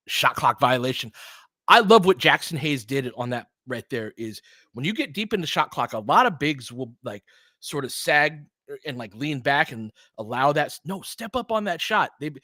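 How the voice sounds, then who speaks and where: A man's voice: mid-range voice, bright, forceful tone, no echo. A man giving informal live basketball play-by-play as a partisan commentator who urges on the home team.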